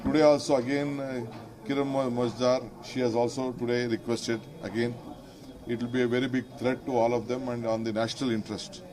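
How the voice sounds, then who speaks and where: A man's voice: medium pitch, level tone, fairly quick, crowd noise, low-quality recording. A man with an Indian accent making unscripted political remarks to the press in emphatic, broken phrases.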